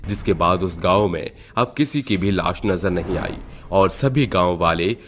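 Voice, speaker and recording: A man's voice: low voice, lively intonation, unhurried, slight background noise. A man narrating a scripted horror moral story as a storyteller. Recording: music behind the voice.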